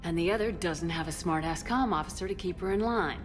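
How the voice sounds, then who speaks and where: A woman's voice: on the low side, brisk, faint music behind, lightly acted. A woman playing a tough, confident military commander in a video game, direct and dryly humorous. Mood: wry, bantering. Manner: direct, dry.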